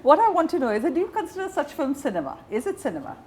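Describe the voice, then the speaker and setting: A woman's voice: sits high, sing-song, racing, darkish tone, a little hiss or hum. A woman interviewing a guest in a conversational style. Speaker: interviewer, journalist.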